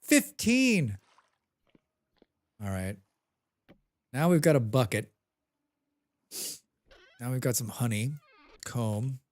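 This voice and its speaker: A man with a head cold talks through a survival game on a live stream, his pitch bouncing up and down as he goes. He hums little tunes under his breath and chats back to his viewers in a loose, casual way. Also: huge pitch swings, takes it slowly, pauses often. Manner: chatty, hums to himself, answers chat.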